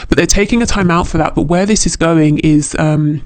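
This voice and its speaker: A man with a fairly high voice reads tarot for the listener. He speaks off the cuff, reflecting aloud as he interprets. Phrases often get repeated or reworked along the way. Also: brisk, no echo. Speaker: tarot reader. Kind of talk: intuitive tarot reading, unscripted, addressed to the listener.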